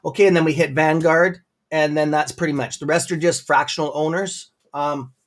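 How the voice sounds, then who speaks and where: Rambling Canadian man, slightly high voice. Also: steady tone, normal speed. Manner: rambling, filler-heavy, friendly. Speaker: guest presenter on a stock livestream. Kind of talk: casual livestream chat.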